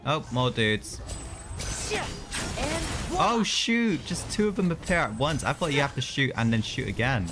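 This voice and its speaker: A man's voice: mid-range voice, animated, measured pace, long pauses. A young man doing casual live gameplay commentary, chatty and slangy with mild exclamations. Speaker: game streamer. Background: game music.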